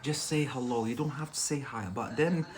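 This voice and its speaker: This is a young man from Algeria, whose first language is Algerian Arabic, speaking English as he hosts a language live stream. He talks in a relaxed, rambling way, reaches for fillers often, and laughs along with his viewers. He speaks at a middle pitch for a man, straight into a desk microphone. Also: normal speed. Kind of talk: casual live-stream teaching chat.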